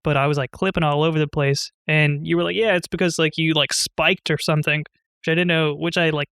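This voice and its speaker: A man's voice: fairly high pitch, steady tone, a little fast, fairly bright tone, smooth, no echo, studio quality. A young man co-hosting a casual podcast, talking loosely with lots of "like" and "you know". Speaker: podcast co-host. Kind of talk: casual chat.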